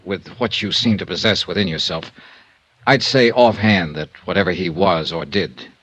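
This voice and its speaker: A man's voice: low-pitched, moderate pace, a bit bright, dry recording, poor audio. An American man playing a cool, courteous insurance investigator in 1950s noir radio drama, with a clipped, world-weary delivery. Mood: gentle, courteous. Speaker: lead actor and narrator. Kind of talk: 1950s noir radio drama acting and first-person narration. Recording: vintage radio recording with music.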